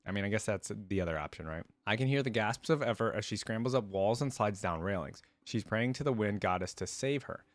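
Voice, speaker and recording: A man's voice: on the low side, expressive, brisk, hardly any pauses, no echo. A man hosting a reaction video, reading a story aloud and adding casual asides.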